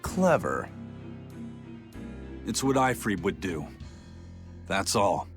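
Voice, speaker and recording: A steady, resolute pirate of a fantasy game. He talks in a low, measured voice with music behind him. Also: heavily acted. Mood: stoic resolve. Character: stoic, steady male pirate first mate.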